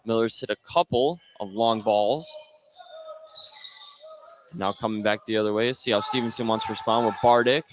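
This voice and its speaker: A man's voice: lowish voice, moderate pace, long pauses, noisy background. A man doing live radio play-by-play of a basketball game, describing the action in short clipped phrases. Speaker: play-by-play sports commentator. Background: basketball game with bouncing ball.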